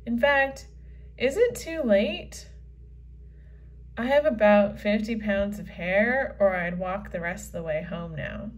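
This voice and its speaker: A woman's voice: medium pitch, takes it slowly, voice pushed down, natural voice. Woman reading as a snooty mean girl. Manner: snide and dismissive. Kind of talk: children's comic read-aloud.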